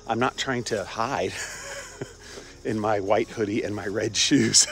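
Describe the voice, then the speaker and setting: A man's voice: lowish voice, takes it slowly, fairly bright tone. An older man from Cincinnati, rambling casually while walking outdoors and filming himself on a phone at arm's length. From Cincinnati, Ohio. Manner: rambling, chatty, frequent fillers, chuckles. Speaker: vlogger. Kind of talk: casual walk-and-talk vlog. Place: outdoors on a suburban campus walk. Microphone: phone held at arm's length, wireless earbuds.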